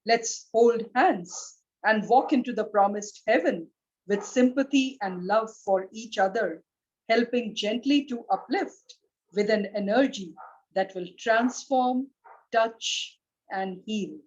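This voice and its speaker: A woman's voice: a little high, slow pace, darkish tone, muffled recording. A woman with an Indian accent, a poet speaking and reciting at an online event over call audio. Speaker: poet and English professor.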